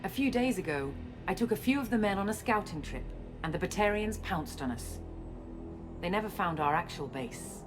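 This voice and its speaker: A woman playing a guarded, self-assured scientist and space operative in a video game, explaining things in a measured way. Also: on the low side, animated, lightly acted. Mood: composed, explaining. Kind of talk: video game cutscene acting. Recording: music under the dialogue.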